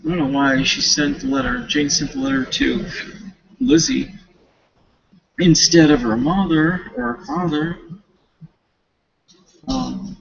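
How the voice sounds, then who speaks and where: Slow, halting man with a husky, creaky voice. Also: fairly high pitch, very animated. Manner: informal, slangy, halting retelling with self-corrections. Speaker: student reading a novel for a class paper. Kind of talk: casual, rambling study recap of a novel, talking to self. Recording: dull, lo-fi home recording.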